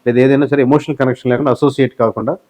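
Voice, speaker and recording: Male Telugu interviewee, analyst type. Rapid-fire, explanatory delivery, medium pitch, English phrases mixed into his answers. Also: fairly even intonation, mellow. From Telugu-speaking southern India. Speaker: guest, election survey company head.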